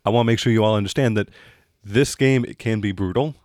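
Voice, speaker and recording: American male game master, slightly deep, emphatic but plain-spoken rules explainer, dry close recording. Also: fast talker, a little mellow, dry recording.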